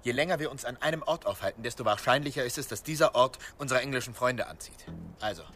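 An actor playing a tense, stubborn police detective in a radio crime drama, speaking in a halting, insistent way. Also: male voice, medium pitch, a bit bright, soft background music, lightly acted. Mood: urgent.

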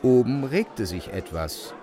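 A man's voice: medium pitch, very animated, slow, crowd noise. A man narrating a literary radio drama in a dramatic storytelling style.

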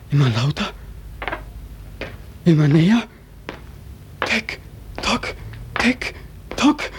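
An actor playing an anguished, despairing young soldier home from war in a 1940s radio play, with urgent questioning and dramatic repetition. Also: male voice, high-pitched, a bit bright, exaggerated voice. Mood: mounting terror. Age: young adult. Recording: noisy, crackly 1940s radio recording.

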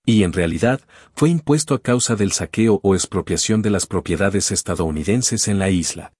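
Earnest Cuban man with a husky voice. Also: low-pitched, normal speed, no room sound, studio quality. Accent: Cuban Spanish. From Cuba. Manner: earnest, steady reading with long listing phrases. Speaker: audiobook narrator.

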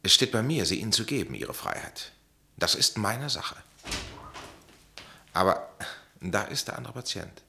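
A commanding psychiatrist in a radio play, with a very low, gravelly voice. He lectures deliberately and with dry irony, his intonation swinging widely for emphasis. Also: big pitch swings, bright, forceful tone, full character voice. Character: domineering male psychiatrist, blunt, dryly ironic, coolly authoritative. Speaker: actor. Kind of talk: German radio play acting.

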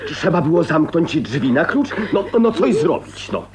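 A man's voice: on the high side, big pitch swings, unhurried, crowd noise. An actor playing a pompous, exasperated male family elder in a radio drama, blustering and repeating himself.

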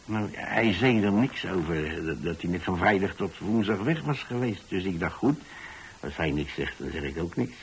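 A man's voice: sits low, lively intonation, moderate pace, a little hiss or hum. An actor playing an older retired landlord, tolerant and folksy, who tells his story hesitantly and repeats himself in a radio monologue. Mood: mildly puzzled.

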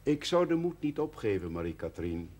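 A man's voice: on the low side, big pitch swings, fairly quick, a little mellow. An actor playing an amiable, persistent businessman in a conversational, probing way in a television drama. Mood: persuasive. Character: amiable, persistent businessman, expectant father, old rival. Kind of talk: naturalistic television drama acting. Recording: faint noise, 1970s television soundtrack.

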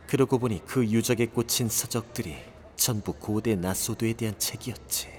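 A man's voice: fairly low pitch, normal speed, slight background noise, close to an everyday voice. A man playing an arrogant, irritable young inventor, haughty and curt, in an audio drama. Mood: pensive muttering. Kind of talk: Korean audio drama acting.

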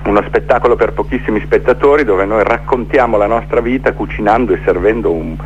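A man's voice: on the low side, fairly quick, dull audio, slight background noise. A middle-aged man telling his story conversationally in a radio interview, reflective, building up lists with repeated phrases. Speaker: theatre maker being interviewed.

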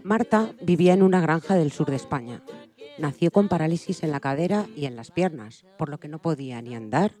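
A woman's voice: sits low, expressive, fairly quick, dark tone, faint music behind. A woman from reading scripted narration as co-host of a programme.

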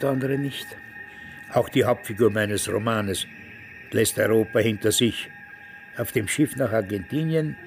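Radio drama, smug elderly ex-Nazi officer: Austrian accent, creaky voice, rambling reminiscence, music behind. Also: male voice, mid-range voice. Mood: smug reminiscing. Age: older, past retirement. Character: self-satisfied old ex-Nazi, retired Austrian police major.

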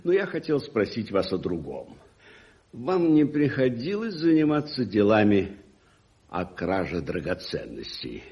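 An actor playing a wealthy, self-assured older gentleman uncle in a radio play, with a theatrical, condescending manner. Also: lowish voice, wildly swooping pitch, unhurried. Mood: curious, expansive. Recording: dull muffled 1981 radio recording.

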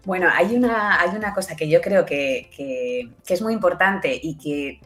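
Spanish woman guest chatting in an interview, really low voice, explaining stuff, faint music under it. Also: moderate pace, a bit bright. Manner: explanatory, seeks agreement with tag questions. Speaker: interview guest presenting an audio drama. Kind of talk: conversational interview.

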